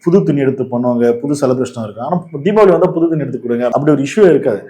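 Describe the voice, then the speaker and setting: Fast-talking Tamil Nadu man, argumentative explainer. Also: mid-range voice, animated, warm tone, dull audio. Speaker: political commentary host. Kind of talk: casual opinionated political discussion.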